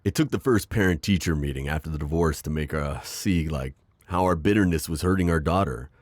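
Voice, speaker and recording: A man voicing a gentle, self-deprecating divorced construction-worker dad in an ASMR roleplay, hesitant and stammering with soft jokes. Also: rumbling low, brisk, no room sound. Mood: earnest. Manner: hesitant, full of ums and stammers, joking softly. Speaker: voice actor.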